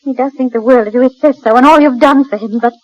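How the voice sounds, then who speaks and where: A woman's voice: sits high, fast talker, no echo, rough recording. An actress playing an agitated, defiant young woman in love, in a 1940s radio drama. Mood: confessing, insistent. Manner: agitated, insistent.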